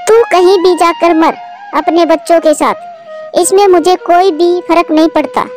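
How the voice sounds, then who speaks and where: A woman's voice: very high-pitched, steady tone, a little slow, a little mellow, soft background music, voice pushed up. A woman voicing a cruel, scolding older mother-in-law bird in a moral-story cartoon. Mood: angry, scolding. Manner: harsh, scolding, commanding.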